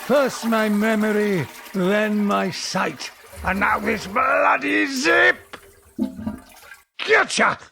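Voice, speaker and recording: A man's voice: high-pitched, drawn-out words, vocal fry, heavily acted. An actor playing a forgetful, grumbling man of eighty who muses theatrically and mocks himself in an audio drama monologue. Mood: wry grumbling. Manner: theatrical, musing, self-mocking.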